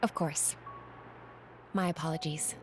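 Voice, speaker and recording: A woman's voice: low voice, steady tone, measured pace, slight background noise. Serene, formal female envoy. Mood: gentle, apologetic. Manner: formal, polite, composed. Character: serene, courteous female envoy of a high leader. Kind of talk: video game cutscene acting.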